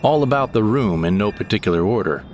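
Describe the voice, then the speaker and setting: A man's voice: lowish voice, lively intonation, fast, a little mellow, slight background noise, natural voice. A man playing a wistful, droll sidekick who narrates a scripted sci-fi comedy audio drama in the first person.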